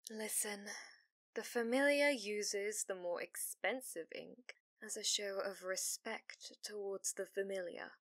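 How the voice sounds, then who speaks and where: A woman playing a possessive, devoted fox-spirit familiar in an ASMR roleplay, speaking intimately and often slipping into breathy whispers. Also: medium pitch, takes it slowly, dry recording. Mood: insistent, coaxing. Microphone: close studio microphone, dry.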